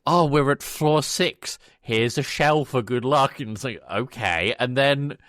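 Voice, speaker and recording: A man's voice: medium pitch, a little slow, fairly bright tone, no echo, studio quality. A man co-hosting a podcast, casually retelling a film in a loose, conversational way and acting out lines. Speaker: podcast co-host.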